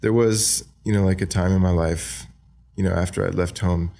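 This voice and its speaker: A soft-spoken man in his twenties with an American accent, raised in Australia, talking thoughtfully as a podcast guest with frequent ums. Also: sits low, fairly even intonation, normal speed, vocal fry. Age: 20s. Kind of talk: casual interview talk.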